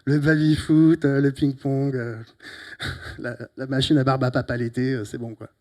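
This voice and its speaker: A middle-aged man from Italy with an Italian accent, giving a lively, teasing closing talk at a conference on a handheld mic, with sudden loud emphatic bursts. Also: mid-range voice, moderate pace. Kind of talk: lively, joking conference speech. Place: conference hall. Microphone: handheld microphone.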